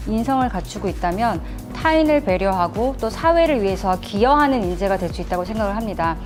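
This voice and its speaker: A woman in a testimonial interview talks at a brisk clip in a middle-pitched voice. She explains her work as a tutor earnestly, over clearly audible background music. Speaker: tutor at an education company.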